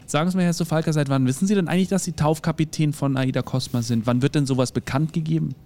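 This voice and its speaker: A man's voice: on the high side, quick pace, dark tone. A man hosting a radio show, leading an interview in an upbeat way.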